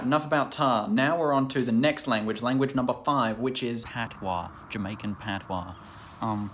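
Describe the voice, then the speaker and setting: A man's voice: on the low side, background noise. A man hosting a language channel, talking casually and enthusiastically to camera with lots of "like" and "um" fillers. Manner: chatty, rambling with many "like" and "um" fillers; enthusiastic and curious.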